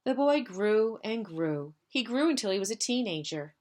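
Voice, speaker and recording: Woman, school storyteller, mid-range voice, children's read-aloud, drawn-out gentle refrains. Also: mid-range voice, animated. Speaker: school staff member reading stories to pupils.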